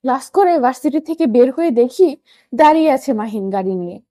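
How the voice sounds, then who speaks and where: A woman's voice: high voice, expressive, a little fast, mellow, no room sound, clean studio sound. A young woman narrates a romantic story in the first person, acting out dialogue as she goes.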